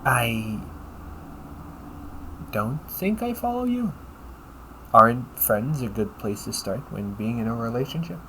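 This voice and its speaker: A man playing a polite, caring A.I. companion in an audio roleplay, speaking in measured, formal phrases and often checking with a questioning tag. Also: mid-range voice, sing-song, fairly bright tone, a little hiss or hum, full character voice, poor audio. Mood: thoughtful. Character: polite, caring male A.I. companion, gently logical and encouraging. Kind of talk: audio roleplay addressed to the listener.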